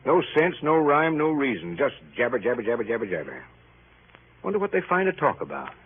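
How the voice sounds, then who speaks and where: A man's voice: medium pitch, very animated, a little fast, close to an everyday voice. A man playing a grumpy, exasperated middle-aged man who grumbles to himself in old radio comedy. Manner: muttering, grumbling. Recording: tinny, noisy old radio broadcast.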